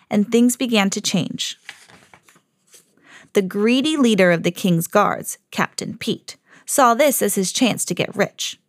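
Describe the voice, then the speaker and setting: A mother hosting her own podcast, reading a children's storybook aloud with lively jumps for the quoted lines. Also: fairly high pitch, dry recording, studio quality. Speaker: mom reading to her kids, podcast host.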